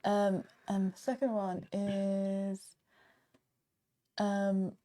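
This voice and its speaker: A woman's voice: medium pitch, flat delivery, very slow, warm tone. A woman co-hosting a casual show in a chatty way, hesitant and often stumbling over repeated words. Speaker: show co-host.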